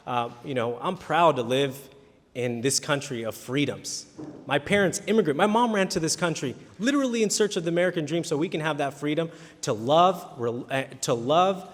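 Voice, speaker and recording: A man answering questions at a candidate forum, earnest, often restarting his words. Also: medium pitch. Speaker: city council candidate. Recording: reverberant hall.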